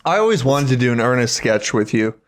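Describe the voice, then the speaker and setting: A man's voice: mid-range voice, big pitch swings, brisk. A man in his thirties chatting casually as a podcast co-host, joking crudely and swearing freely. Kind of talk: casual chat.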